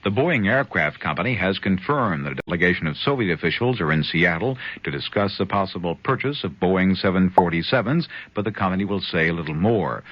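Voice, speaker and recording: A man reading a radio news bulletin in a measured, formal way. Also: sits low. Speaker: newsreader. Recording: lo-fi 1970s radio with faint hiss.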